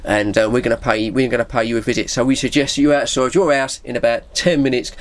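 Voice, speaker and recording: A man's voice: medium pitch, fairly even intonation, quick pace, pitched below the performer's own voice, natural voice. A man voicing a menacing, officious older teenage lad playing self-styled radio police in an anecdote.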